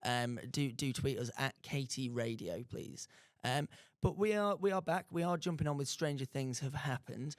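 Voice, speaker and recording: A man's voice: medium pitch, normal speed, no echo. A man hosting a live radio show from a studio. He jokes with his guest and rambles with lots of restarts and fillers. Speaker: radio host. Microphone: studio mic.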